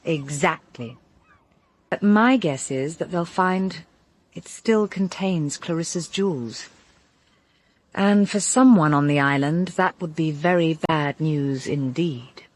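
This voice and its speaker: Composed, low-voiced British woman. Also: slow, dry recording. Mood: watchful. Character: composed, observant woman, quietly probing and reasonable. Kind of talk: full-cast radio drama dialogue.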